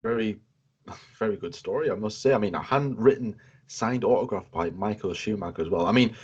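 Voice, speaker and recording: Man in his twenties, low-voiced interview host, rambling casual delivery, muffled lo-fi sound. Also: a little fast, husky. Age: 20s. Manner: chatty, stacks filler words and repeats himself. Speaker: show host interviewing a guest.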